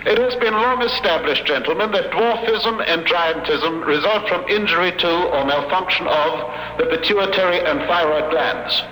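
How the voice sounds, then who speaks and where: Brief: a sneering mad-scientist villain, high and sharp, formal and mocking, 1940s radio sound. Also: male voice, normal speed, few pauses, echoey room, in a large hall, slight background noise, poor audio. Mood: lecturing. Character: gloating scientist villain, male, middle-aged, cold.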